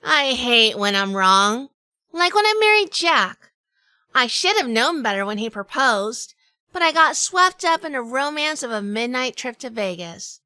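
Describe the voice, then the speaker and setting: A woman playing a sardonic, quick-witted female detective in a hardboiled noir audio drama, narrating and talking with wry humor. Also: a little high, sing-song, bright, forceful tone, no room sound, full character voice. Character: sardonic quick-witted 1940s female gumshoe, weary wife.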